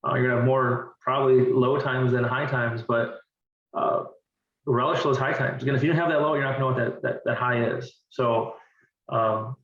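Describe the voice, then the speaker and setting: A man's voice: medium pitch, fairly even intonation, normal speed, a bit bright. Earnest middle-aged man, distant and roomy. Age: 40s. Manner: earnest, repeats words while thinking. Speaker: interview guest. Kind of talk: candid conversational interview. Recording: distant, roomy and dull-sounding.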